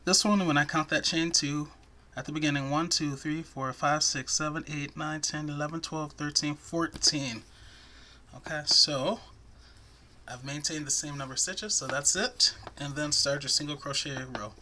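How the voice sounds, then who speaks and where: A man teaching crochet step by step in a soothing, soft-spoken voice, chatting between stitches. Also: fairly high pitch, fairly even intonation, moderate pace. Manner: soft-spoken, chatty, self-deprecating asides. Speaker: crochet tutorial host.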